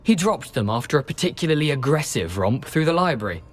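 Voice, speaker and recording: A man's voice: fairly high pitch, big pitch swings, fast. An actor playing a cheeky, wary young male ghost in a video game, with a wry, wordy, theatrical manner. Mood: wry, breezy. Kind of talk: video game character acting.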